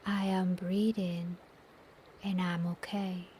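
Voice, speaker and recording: A woman leading a guided meditation in a soft, breathy, near-whispered and soothing voice, with long pauses between short instructions. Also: lowish voice, level tone, slight background noise. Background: soft nature ambience.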